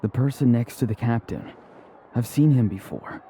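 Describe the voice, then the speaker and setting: Man playing a mellow, grave young warrior. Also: mid-range voice, a little hiss or hum, natural voice, rough recording. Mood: serious, puzzled. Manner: measured, serious. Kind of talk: English video game character acting.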